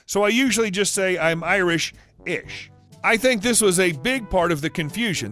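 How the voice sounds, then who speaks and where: Man, narrator, high pitch, matter-of-fact, faint music behind. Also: a bit bright. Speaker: story narrator. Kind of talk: scripted story reading.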